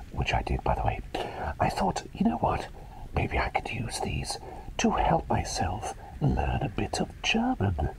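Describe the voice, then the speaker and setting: A man voicing an eccentric, whimsical plague doctor in a breathy ASMR whisper, playful, rambling and softly reassuring. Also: low voice, full character voice, rough recording. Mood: chatty, pleased. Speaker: ASMR performer. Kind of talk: whispered ASMR roleplay, personal attention.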